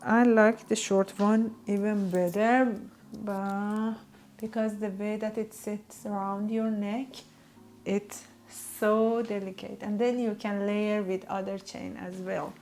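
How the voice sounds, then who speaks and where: Slow, enthusiastic woman with a Persian accent. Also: medium pitch, dark tone. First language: Farsi. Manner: enthusiastic, gushing, non-native phrasing. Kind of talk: casual product showcase.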